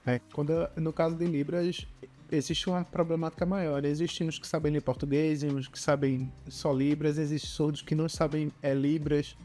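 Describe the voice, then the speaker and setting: A podcast host asking questions in a measured way, often adding tag questions. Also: medium pitch, flat delivery, dark tone, faint music behind. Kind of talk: casual interview conversation.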